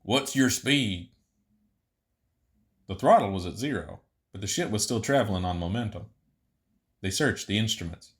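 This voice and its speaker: A man's voice: lowish voice, lively intonation, a little fast. A man reading scripted fiction narration as the narrator of an audiobook story.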